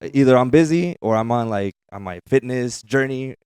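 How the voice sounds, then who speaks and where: A man's voice: medium pitch, animated, moderate pace, darkish tone, clean tone, no room sound, clean studio sound. A man in his thirties from the Mexican side of the border, a native Spanish speaker, telling stories casually as a podcast guest. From US-Mexico border region, Mexico. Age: 30s. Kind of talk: casual chat, storytelling.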